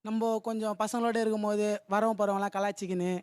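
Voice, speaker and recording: A man's voice: high voice, level tone, quick pace, a little mellow, clear, resonant tone. A young man talking boastfully as a talk show guest. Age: young adult.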